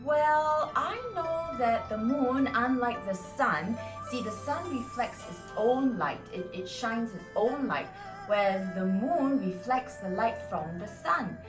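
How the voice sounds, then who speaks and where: A woman's voice: a little high, expressive, takes it slowly, hardly any pauses, over music. A woman presenting interactive storytelling for children in an encouraging, instructive way. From Singapore. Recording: echoey room, distant mic, faint noise.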